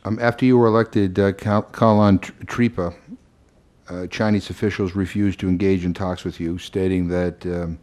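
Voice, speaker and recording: A man's voice: deep voice, little pitch movement, normal speed, darkish tone. A man chairing a hearing and questioning a witness, often restarting his sentences as he goes. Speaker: committee chairman.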